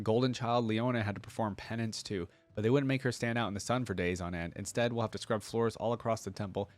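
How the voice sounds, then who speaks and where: A man's voice: fairly low pitch, no echo. A man reading story lore aloud and reacting to it with informal, invested fan commentary. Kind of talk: dramatic read-aloud with casual fan commentary.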